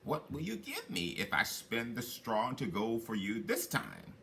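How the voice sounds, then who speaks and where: A man voicing a sly, insistent little magical man from a fairy tale, making emphatic, deliberate demands. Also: medium pitch, huge pitch swings, creaky, pushed and bright, a little hiss or hum, heavily acted. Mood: sly bargaining.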